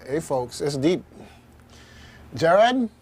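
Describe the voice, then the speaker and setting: A man with a Caribbean accent hosting an informal radio discussion in a halting, reflective way. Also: on the high side, sing-song, slightly rough, soft background music. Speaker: radio host. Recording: faint background noise.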